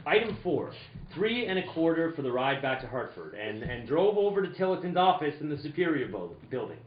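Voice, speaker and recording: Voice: mid-range voice, big pitch swings, a little fast, close to an everyday voice. A performer playing a tough, wisecracking male private investigator in an old-time detective radio drama, punchy and accusing. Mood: wry narrating. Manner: accusing, punchy, first-person narration and interrogation. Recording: echoey room, dull noisy sound.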